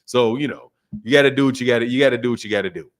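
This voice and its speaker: A man's voice: medium pitch, very fast. A man from the United States hosting a livestream, chatting casually with viewers in an emphatic, slangy way and repeating phrases for emphasis. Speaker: livestream host of a boxing talk channel. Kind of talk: casual livestream chat, reading and answering viewer messages.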